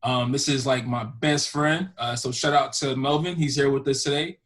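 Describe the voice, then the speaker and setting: A man's voice: mid-range voice, steady tone, normal speed, fairly bright tone. Young male podcast host, rambling. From Houston, Texas. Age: young adult. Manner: rambling, full of fillers like "you know". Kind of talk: casual chat.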